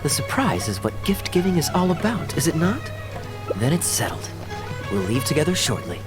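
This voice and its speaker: Suave, lilting young knight, music behind. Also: male voice, fairly high pitch, very animated, fairly quick. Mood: pleased, teasing. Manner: smooth, playful, theatrically eloquent. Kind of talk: polished video game character acting. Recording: game dialogue over background music.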